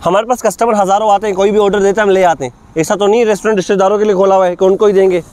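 A brusque, sarcastic man with a Pakistani Urdu accent fires off his words quickly in a fairly high voice. He stands at an outdoor street-side cafe. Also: steady tone, a little mellow, clear, resonant tone. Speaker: waiter in prank.

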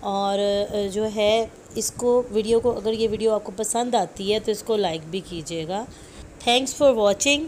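A woman's voice: mid-range voice, slow pace, darkish tone, a little hiss or hum. A woman with a Pakistani Urdu accent narrating celebrity entertainment news in a chatty voice-over, repeating herself and slipping into English phrases. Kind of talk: voice-over commentary on celebrity clips.

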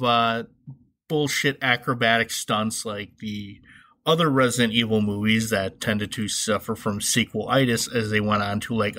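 A man's voice: lowish voice, pushed and bright. A man hosting a podcast solo, giving casual, wry commentary with an informal, joking manner. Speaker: podcast host.

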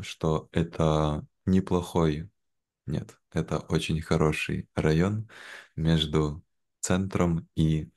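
A young man speaking in a careful, measured way in a simplified conversation for learners, on a video call from home. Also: sits low, creaky, darkish tone. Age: young adult. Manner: measured, careful, deliberate phrasing. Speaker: guest, language teacher. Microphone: video call, wearing headphones.

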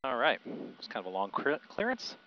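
A man's voice: mid-range voice, wildly swooping pitch, fairly quick. A middle-aged man, a pilot, narrating from a small plane cockpit and giving clipped, matter-of-fact radio readbacks through a headset. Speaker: pilot and vlogger. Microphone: aviation headset boom mic. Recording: muffled headset audio with engine noise.

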